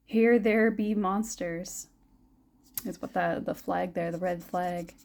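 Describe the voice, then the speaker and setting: A young adult woman giving a gentle, musing tarot reading, her voice a little raspy and scratchy, often laughing softly. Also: on the low side, steady tone, slow. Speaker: tarot reader. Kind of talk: soft-spoken tarot reading.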